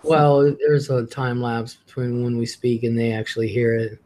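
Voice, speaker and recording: A man's voice: mid-range voice, little pitch movement, moderate pace, dark tone, a little hiss or hum. A man hosting a live call-in show, teasing and flirting with callers and laughing often. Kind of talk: casual flirty call-in chat.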